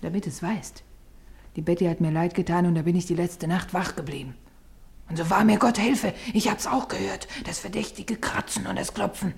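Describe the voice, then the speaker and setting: A woman's voice: lowish voice, fairly even intonation, normal speed, vocal fry, a little mellow. An actress playing a stubborn, superstitious working-class woman in a 1950s German radio drama, earnest and nagging. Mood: defiant.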